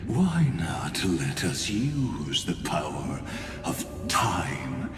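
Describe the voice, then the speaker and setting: A man's voice: very deep voice, expressive, drawn-out words, full character voice. A man playing a grave, theatrical storyteller narrating a myth in a video game, solemn and portentous. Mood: solemn, inviting. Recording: echoey long reverb, loud music behind.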